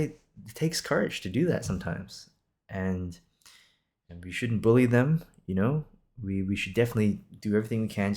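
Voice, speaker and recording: Man in his twenties, lowish pitch, heavy vocal fry, casual podcast monologue, encouraging, conversational. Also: a little mellow. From Singapore. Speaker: podcast host.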